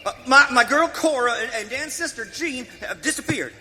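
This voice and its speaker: An actor playing an excitable, worried frontier settler father with an Old in a western radio play. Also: very high-pitched, moderate pace, full character voice. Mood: flustered. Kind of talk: live western radio drama acting. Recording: reverberant hall, faint background noise.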